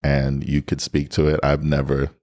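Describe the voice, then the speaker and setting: Very deep-voiced man, candid storyteller. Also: moderate pace. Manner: animated retelling, acting out his own past lines. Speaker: podcast co-host. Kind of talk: candid storytelling in casual chat.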